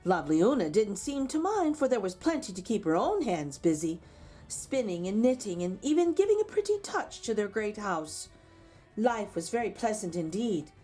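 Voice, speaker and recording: Female storyteller, animated and dramatic, reading to young children over soft music. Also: mid-range voice, moderate pace. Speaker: storyteller reading a picture book aloud. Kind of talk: expressive read-aloud for children with chatty asides.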